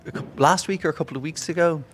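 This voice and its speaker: A man's voice: a little high, racing, a little hiss or hum. A man hosting a marketing podcast, upbeat and encouraging as he introduces and questions his guest. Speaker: podcast host. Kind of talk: interview podcast.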